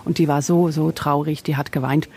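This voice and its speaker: A woman's voice: deep voice, steady tone, a little fast, darkish tone, husky. Dutch-accented woman telling an anecdote. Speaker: museum receptionist being interviewed. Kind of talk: casual anecdote.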